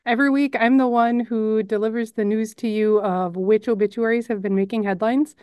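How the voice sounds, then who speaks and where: A woman's voice: on the high side, steady tone, a little fast, a little mellow, clear, resonant tone, no echo, clean studio sound. A middle-aged woman from the Chicago suburbs with a Chicago accent, chatting on camera about her work as a news editor and narrator in a friendly, matter-of-fact way. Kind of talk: casual on-camera chat.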